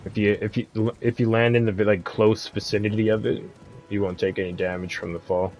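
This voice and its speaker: A man giving a casual, relaxed video game walkthrough as the host of a guide, in informal language. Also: on the low side, a little fast, soft background music. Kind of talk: casual gameplay walkthrough commentary.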